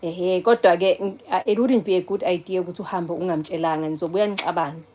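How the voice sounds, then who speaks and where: A woman's voice: fairly low pitch, steady tone, quick pace, darkish tone. A South African woman in her forties chatting casually on a live stream, answering viewers and taking a call in between. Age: 40s. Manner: chatty, answers viewers and phone calls, switches between English and Zulu.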